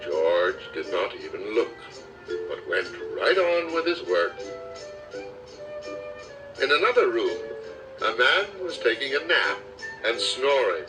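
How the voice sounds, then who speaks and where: A man narrating a children's storybook aloud with expressive, dramatic storytelling delivery. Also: mid-range voice, slow pace, long pauses. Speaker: audiobook narrator. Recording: slightly distant cassette recording with music.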